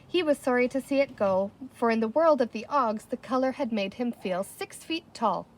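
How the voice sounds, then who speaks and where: A woman reads a children's story aloud in a fairly high voice. Her narration is expressive, with pitch that rises and dips widely through each sentence. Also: normal speed. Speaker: storybook reader.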